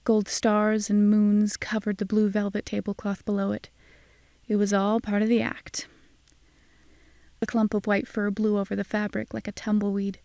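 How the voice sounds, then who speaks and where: Wry, mellow, gravelly woman narrator. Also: mid-range voice, completely flat delivery, moderate pace, dry recording. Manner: wry, sardonic. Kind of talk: scripted first-person audiobook drama narration.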